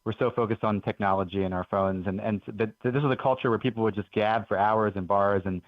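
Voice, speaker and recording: A man's voice: lowish voice, fairly even intonation, fast talker, dry recording. A man in his forties, from Belgium, telling stories as a guest author in an earnest, enthusiastic way on a radio interview. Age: 40s. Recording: dull lo-fi radio audio.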